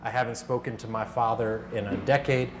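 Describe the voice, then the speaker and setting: A man with a mid-pitched voice presents informally to a workshop. He talks conversationally, like an organizer sharing stories, and there is clear noise behind him. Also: fairly even intonation, fairly quick. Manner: conversational, acts out reported dialogue. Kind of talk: informal workshop talk with anecdotes. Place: workshop room, live talk.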